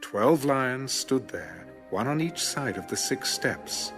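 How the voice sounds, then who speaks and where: A man's voice: medium pitch, lively intonation, takes it slowly, a bit bright. A man narrating scripture from a script in a dramatic audio Bible style. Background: background music.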